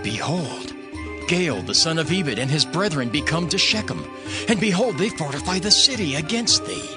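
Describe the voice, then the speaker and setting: A scheming male messenger acted by a man declaims urgently in a fairly high, very animated voice. Music plays beneath this biblical audio drama. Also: moderate pace, close to an everyday voice. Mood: urgent, conspiratorial. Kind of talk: dramatized scripture reading.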